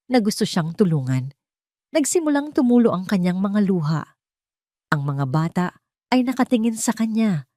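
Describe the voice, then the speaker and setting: A woman narrates a scripted drama. Her voice sits low for a woman, and the recording is dry, with no room around it. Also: a little mellow, no room sound. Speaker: story narrator.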